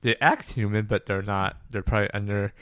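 A man's voice: on the low side, fairly even intonation, fairly quick, a bit bright, no echo, clean studio sound. A man co-hosting a podcast in casual chat, agreeable and given to repeating short phrases. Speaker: podcast co-host.

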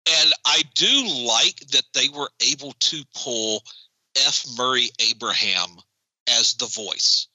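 A man co-hosting a casual podcast chat about a TV episode, animated and joking. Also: medium pitch, slow pace, dry recording, rough recording. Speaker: podcast co-host.

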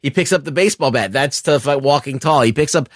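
A man's voice: mid-range voice, fast, dry recording. A man co-hosting a casual film discussion, speaking emphatically with lots of swearing into a close microphone. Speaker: podcast co-host reviewing films. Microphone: close podcast microphone.

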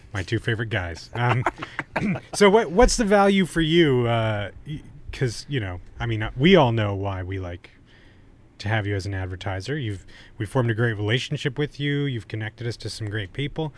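Man, average pitch, casual podcast hosting, joking, irreverent. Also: lively intonation, moderate pace. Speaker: podcast co-host. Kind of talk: casual, bantering podcast chat.

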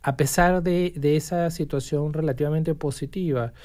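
A professor answers interview questions in measured, explanatory sentences. The voice is mellow and dark-toned at a middle pitch. Phrases are often restarted mid-thought. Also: fairly even intonation. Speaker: university language professor, guest. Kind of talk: casual interview chat.

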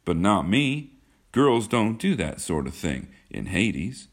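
A man's voice: on the low side, big pitch swings, slow pace, pitched above the performer's own voice, exaggerated voice. A man reads as a proud young provincial suitor in a storybook. He is sincere and a little hesitant. His voice rises and falls with plenty of movement.

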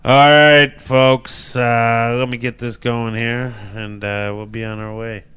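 A man's voice: medium pitch, flat delivery, takes it slowly, fairly bright tone, smooth. A man hosting a casual wrestling podcast, joking, swearing and rambling loosely. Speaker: podcast host. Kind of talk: casual chat.

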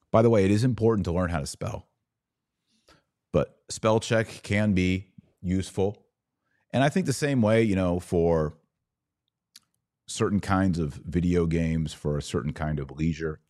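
Low-voiced American man, dry close sound. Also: a little mellow, dry recording. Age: middle-aged. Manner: emphatic, rhetorical questions and short punchy phrases. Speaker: podcast host. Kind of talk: opinionated monologue to listeners.

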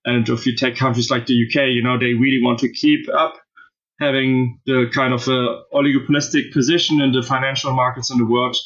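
A man's voice: medium pitch, flat delivery, brisk, a bit bright. German-accented man, slightly lo-fi. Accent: German-accented English. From southern Spain. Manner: thoughtful, many fillers and restarts. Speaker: podcast guest, blockchain author. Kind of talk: casual interview answers.

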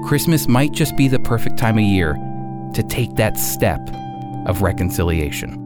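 A man giving a scripted radio sermon as host and Bible teacher, speaking earnestly with deliberate, emphatic phrasing. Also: on the low side, lively intonation, loud music behind the voice.

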